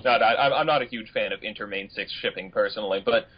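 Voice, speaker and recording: Slightly husky man chatting, muffled audio. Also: fairly low pitch, fast talker. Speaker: podcast co-host. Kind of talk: casual chat. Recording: dull lo-fi podcast audio.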